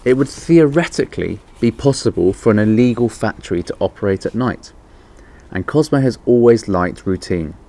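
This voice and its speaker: A man's voice: fairly low pitch, lively intonation, normal speed, dark tone, voice pushed up. A man reading the part of a thoughtful father in a children's storybook, considered and explanatory. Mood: pondering. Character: thoughtful father working out a theory.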